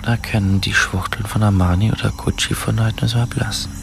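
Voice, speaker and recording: An actor playing a cocky, self-absorbed youth in a radio drama, sardonic and boastful. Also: male voice, lowish voice, fairly even intonation, brisk, vocal fry, faint music behind. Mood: vain. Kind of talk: radio drama acting, inner monologue and dialogue.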